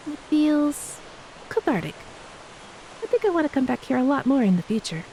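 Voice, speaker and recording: A woman playing a gentle, playful ex-mermaid wife in a romantic audio roleplay, speaking tenderly and teasingly to a beloved spouse. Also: sits high, moderate pace, poor audio. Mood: tender, grateful. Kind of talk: romantic audio roleplay, intimate scripted acting. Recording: steady hiss behind the voice.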